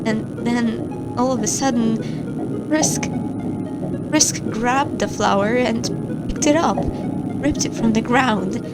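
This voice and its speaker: A frightened female monster scientist, played by a woman, records her log in slow, stammering phrases. Her voice sits a little high. A loud musical theme plays beneath her audio-log horror narration. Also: rough recording. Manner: halting, stammering, fearful.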